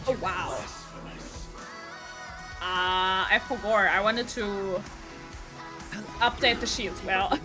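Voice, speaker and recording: A woman livestreaming a video game, giving excited, gushing commentary as she reacts aloud. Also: medium pitch, takes it slowly, pauses often. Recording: loud game music behind. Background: game music and dialogue.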